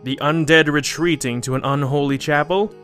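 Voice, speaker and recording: A man playing a dry, learned monster hunter in an audio drama, with a measured and wry delivery. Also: a little high, steady tone, a bit bright, natural voice. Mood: grave, explaining. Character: dry, knowing male monster hunter with crossbow. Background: background music.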